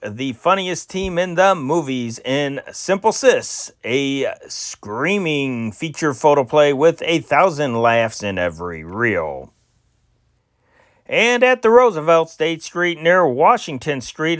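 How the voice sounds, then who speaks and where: A man hosting an amateur reading of vintage newspaper news and listings, with a halting delivery that stumbles over names. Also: fairly high pitch, fairly bright tone, dry recording, clean studio sound.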